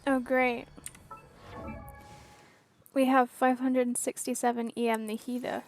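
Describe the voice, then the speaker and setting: Slow-talking woman streaming a game. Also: fairly high pitch, flat delivery, faint music behind. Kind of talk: casual gameplay commentary.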